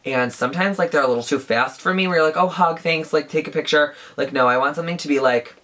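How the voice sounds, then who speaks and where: A woman's voice: deep voice, quick pace, a bit bright. A woman in her twenties chatting casually in a Q&A vlog, saying "like" often. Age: 20s. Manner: chatty, says "like" a lot. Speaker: beauty YouTuber.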